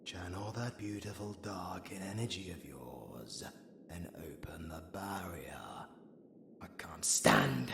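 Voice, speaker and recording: Man acting a deep, sardonic British necromancer villain. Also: expressive, vocal fry, echoey room, noisy background, full character voice. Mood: dry, impatient. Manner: theatrical, sarcastic, swearing, drawn-out delivery. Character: sardonic, flirtatious undead male necromancer villain, long entombed. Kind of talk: intimate audio roleplay acting, addressed to the listener.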